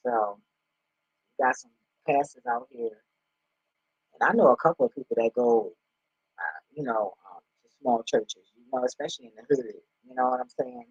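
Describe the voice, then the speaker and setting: Husky, blunt man in a roomy, muffled recording. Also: normal speed, pauses often. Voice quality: husky, deep voice. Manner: blunt, profane, streetwise slang. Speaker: online spiritual commentator. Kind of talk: casual, unscripted rambling talk. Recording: roomy, dull lo-fi home recording.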